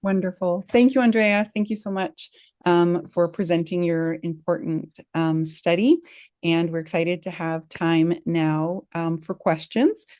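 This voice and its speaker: A woman's voice: fairly low pitch, steady tone, unhurried, mellow, dry recording, studio quality. Polite woman moderating a webinar. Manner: polite, welcoming, with many ums. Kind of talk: hosting a webinar Q&A. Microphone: video call.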